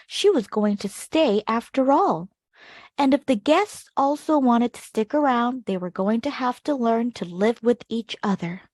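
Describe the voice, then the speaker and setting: Higher-voiced woman reading a children's storybook. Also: darkish tone, dry recording. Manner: storytelling, emphatic key words. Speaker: children's read-aloud host. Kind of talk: expressive picture-book reading with short asides to young listeners.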